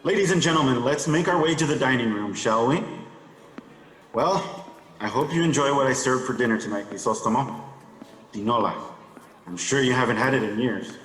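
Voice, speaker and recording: An actor playing a genial, wealthy dinner host in a radio drama, courteous and ceremonious. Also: male voice, medium pitch, moderate pace, long pauses, a bit bright, lightly acted. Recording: echoey reverberant room.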